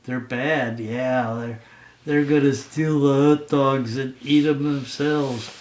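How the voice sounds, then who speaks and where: A man improvising a bedtime story as a father would for his child, in simple, playful, halting narration. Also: medium pitch, fairly even intonation, a little mellow, slight background noise. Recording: roomy home recording.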